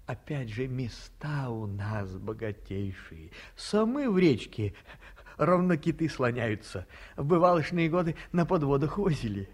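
Brief: an old small-town hotel keeper, folksy and unhurried, spinning stories and slipping into confiding whispers. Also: male voice, medium pitch. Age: older. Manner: folksy, storytelling, drops to whispers. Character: elderly male provincial hotel keeper, folksy, talkative. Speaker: provincial hotel director. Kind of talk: 1950s radio play acting.

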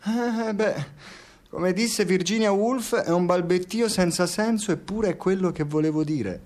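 An actor playing a frustrated, foul-mouthed scientist in an Italian radio drama, sarcastic and given to profane ranting. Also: male voice, high voice, a little slow, a little mellow. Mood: indignant, pompous. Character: frustrated, foul-mouthed male scientist turned reluctant playwright. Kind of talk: Italian radio theatre acting.

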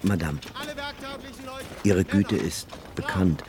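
Grave courteous leader, radio play, formal earnest tone, extremely deep voice. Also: male voice, takes it slowly, a crowd in the background.

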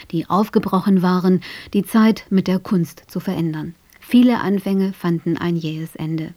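A woman reading a scripted introduction for a radio arts broadcast in a measured, factual way. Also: on the low side, mellow. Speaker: radio presenter introducing an audio drama.

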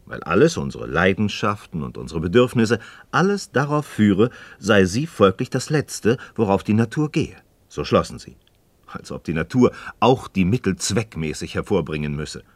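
An actor playing a sceptical, curious friend in a philosophical radio play, reflective and expressive, wary and questioning. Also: male voice, low-pitched, normal speed, dry recording. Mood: reasoning, engaged. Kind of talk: German radio play dialogue acting.

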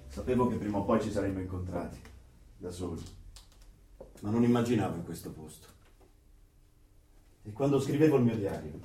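A man's voice: deep voice, mellow, far from the mic, close to an everyday voice. A man acting a fatalistic secret dissident in a radio drama, introspective and sombre. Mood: wistful, intimate. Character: brooding, fatalistic secret dissident keeping a diary. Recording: echoey, off-mic, faint background noise.

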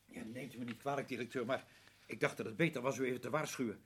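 A man playing an uneasy, deferential veteran prison guard in a Dutch radio drama, hesitant and husky. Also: mid-range voice, steady tone, quick pace, a bit bright, a little hiss or hum, close to an everyday voice. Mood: shaken, apologetic. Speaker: actor in a radio play.

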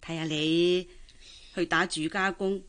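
Coaxing Hong Kong auntie, radio drama. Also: female voice, fairly low pitch, steady tone, takes it slowly, fairly bright tone. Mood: persuading. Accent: Hong Kong Cantonese. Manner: coaxing, exclaiming, sighing interjections. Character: pushy, traditional older auntie urging a girl to marry. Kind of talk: Cantonese radio drama acting.

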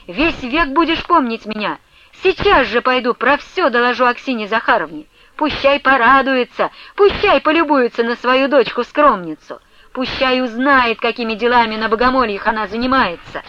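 Woman acting a shrill, raging young woman. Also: normal speed, dry recording, dull audio. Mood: furious, threatening. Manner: shouting, defiant, hot-tempered. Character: furious jealous young convent woman, shrill and threatening.

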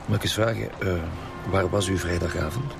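Low-voiced, grumpy Flemish police chief. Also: male voice, brisk, vocal fry. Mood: businesslike. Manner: dry, curt, commanding. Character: weary, grumpy male police chief inspector. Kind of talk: naturalistic radio crime drama acting. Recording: music under the dialogue.

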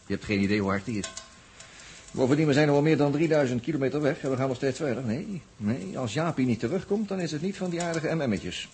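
A man's voice: mid-range voice, animated, fast, darkish tone, natural voice. An actor playing a chatty, wry crewman in a 1960s Dutch radio drama, rambling and repeating himself in a Netherlands accent. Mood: dismissive. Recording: noisy 1960s radio recording.

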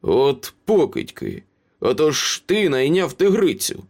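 A man's voice: fairly high pitch, wildly swooping pitch, takes it slowly, vocal fry, darkish tone, voice pushed up, heavily acted. A man voicing a wry male wizard in a storybook who questions people with casual, mocking menace. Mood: scornful.

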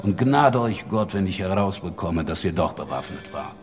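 Threatening mob boss, low gravelly voice that swings around, big reverb. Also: male voice, lively intonation, normal speed. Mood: controlled menace. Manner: controlled, commanding, threatening. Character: menacing male crime boss giving curt orders. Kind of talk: audio drama acting. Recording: long hall reverb, music bed.

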